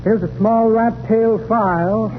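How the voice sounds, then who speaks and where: A man's voice: sits high, fairly even intonation, measured pace. A man playing a pompous, long-winded swindler of a salesman in florid 1930s radio comedy style. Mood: blustering, sly. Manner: florid, grandiose, rambling patter. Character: pompous, wordy old-style male con man and salesman. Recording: noisy, tinny 1930s radio broadcast recording.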